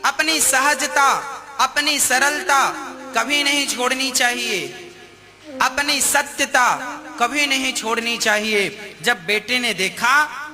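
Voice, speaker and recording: A man's voice: very high-pitched, steady tone, slow pace, bright, forceful tone. A man telling a moral story in a conversational, preacher-like way, acting out the dialogue as he goes. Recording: long hall reverb, music underneath. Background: music.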